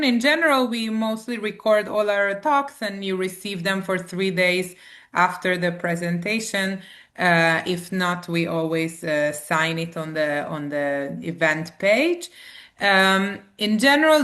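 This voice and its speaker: A woman's voice: medium pitch, a bit bright. A woman moderating an online Q&A, thanking the speaker and reading out audience questions over a video call. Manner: reads out audience questions, polite thanks, light fillers. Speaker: event moderator.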